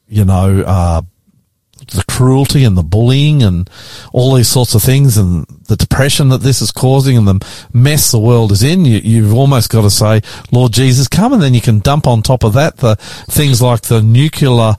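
A man's voice: mid-range voice, measured pace, mellow, no echo. Blokey Australian man, close dry sound. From Queensland, Australia. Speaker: radio host and pastor. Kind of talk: casual radio banter and discussion. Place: radio studio.

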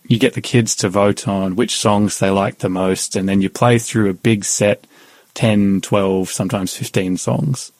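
Calm low-voiced man telling his story. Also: measured pace, no room sound. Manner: calm, thoughtful, with frequent "you know". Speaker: guest sharing a personal faith story. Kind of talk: reflective storytelling in conversation.

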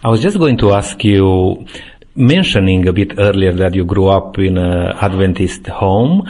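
Deep-voiced interview host. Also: male voice, darkish tone. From Romania. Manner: halting, filler-heavy questions. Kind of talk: hosting an interview.

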